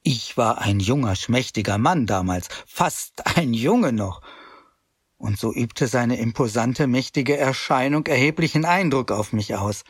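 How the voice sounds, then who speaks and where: A grown man looking back on the guilt of his youth, telling it in a measured, pious way. His voice is husky and sits at a middle pitch. It sounds close and dry, with no room around it. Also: animated, dry recording. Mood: remorseful. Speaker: first-person narrator. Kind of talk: scripted radio drama narration.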